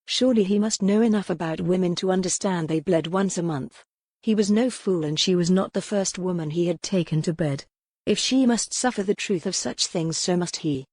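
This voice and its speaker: A woman's voice: on the low side, moderate pace, darkish tone, no echo. A woman reading scripted fiction as a dramatic audiobook narrator.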